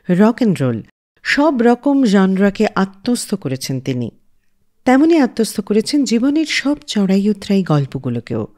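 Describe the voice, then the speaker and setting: Casting: female radio host, animated pitch, poetic scripted delivery introducing music. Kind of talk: scripted music-show narration.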